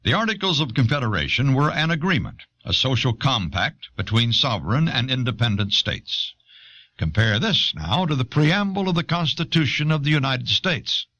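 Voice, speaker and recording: Male narrator for educational history audio; bright, highly inflected, measured delivery, dull cassette sound. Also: very animated.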